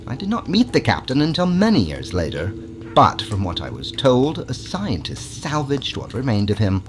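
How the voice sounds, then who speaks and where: A man's voice: low-pitched, background noise. A man playing an eccentric, secretive alien scientist in an audio drama, with a fussy, theatrical, conspiratorial way of talking. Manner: theatrical, fussy, scolding, dropping into conspiratorial hushes. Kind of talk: audio drama character acting.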